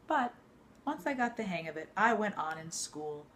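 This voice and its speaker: A woman reading an autobiography aloud like a teacher to students, in a measured, expressive storytelling way. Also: medium pitch, a little hiss or hum.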